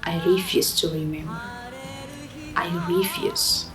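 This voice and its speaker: A woman's voice: deep voice, big pitch swings, over music, full character voice. A woman playing a melancholic young woman haunted by her past, speaking a brooding, dramatic radio drama monologue. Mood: sorrowful, bitter. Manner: slow, brooding, dramatic delivery.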